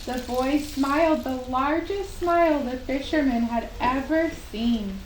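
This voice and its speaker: A woman narrating a children's puppet show story in simple, unhurried phrases with long pauses. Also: high voice. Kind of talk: slow storytelling for young children. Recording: roomy live recording with faint noise.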